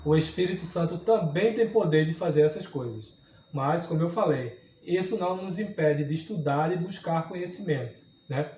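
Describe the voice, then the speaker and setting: A man's voice: fairly high pitch, moderate pace, darkish tone, reverberant. Man, Sunday school teacher, earnest, explanatory, distant mic, faint room noise. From southern Brazil. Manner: earnest teaching, frequent tag questions. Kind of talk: Bible study lesson. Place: church room.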